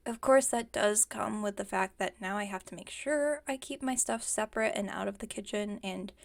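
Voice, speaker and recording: A woman's voice: medium pitch, normal speed, vocal fry, natural voice. A woman playing a playfully defensive, stubborn villain inventor in an ASMR roleplay, chatting and teasing with mock innocence. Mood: chatty, casual. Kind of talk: ASMR roleplay, one-sided conversational acting.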